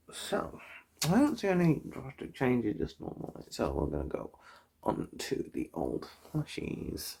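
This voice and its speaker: A breathy, very husky voice delivers an ASMR medical role-play as an eye examiner. The tone is mellow and full of gravelly fry, slipping often into whispers. The delivery is unhurried and politely clinical, with chatty murmured asides. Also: medium pitch.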